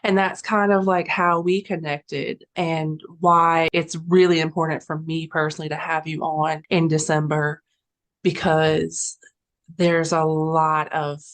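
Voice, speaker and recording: Low-voiced woman podcast host, chatty. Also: level tone, measured pace. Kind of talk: casual interview chat.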